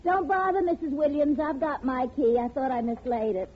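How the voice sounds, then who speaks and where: A woman's voice: high-pitched, fairly even intonation, normal speed, lightly acted. An actress playing a frightened, slangy, sassy young woman in a 1940s radio crime drama, with an emphatic, pleading way of talking. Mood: wary, wisecracking. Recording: tinny, noisy old radio recording.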